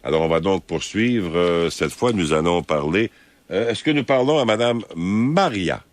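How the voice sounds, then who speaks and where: A man with a Quebec French accent hosting an overnight phone-in radio show, speaking slowly and hesitantly with drawn-out fillers. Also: deep voice, lively intonation, dry recording. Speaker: overnight radio call-in host. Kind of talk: late-night phone-in talk radio. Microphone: studio microphone.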